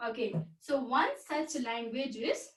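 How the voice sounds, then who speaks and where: A high-pitched woman teaches a school computer class. She talks straight to the children, repeats herself and asks questions as she goes. Her voice sits well above most women's. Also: unhurried, a bit bright, a little hiss or hum. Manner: repetitive, addresses children directly, asks questions. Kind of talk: recorded school computer lesson.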